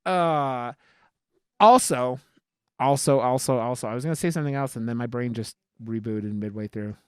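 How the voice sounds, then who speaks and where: A man's voice: medium pitch, moderate pace, a little mellow, clear, resonant tone, no echo, clean studio sound. A man co-hosting a podcast, chatting casually about film in a rambling, emphatic, sweary way. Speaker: podcast co-host. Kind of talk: casual film review chat.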